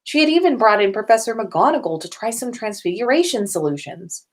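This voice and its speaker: A woman's voice: medium pitch, lively intonation, brisk. A woman reading comic fan fiction aloud as a podcast host, now and then stumbling and rereading a phrase.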